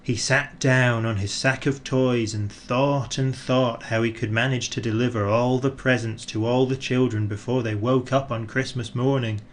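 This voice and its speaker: A man narrating a children's storybook in an expressive, deliberate way, drawing out words for emphasis. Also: mid-range voice, slight background noise. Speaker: audiobook narrator.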